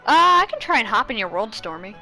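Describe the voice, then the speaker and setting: Young woman, casual gameplay chat, thinking aloud, fairly high pitch, bright tone, faint music behind. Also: sing-song, fast talker, poor audio. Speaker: gaming content creator.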